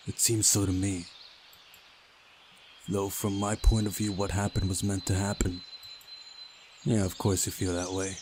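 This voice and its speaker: A man's voice: lowish voice, background noise. A man reading a visual novel aloud as narration, with occasional casual side comments. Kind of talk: story narration with casual asides.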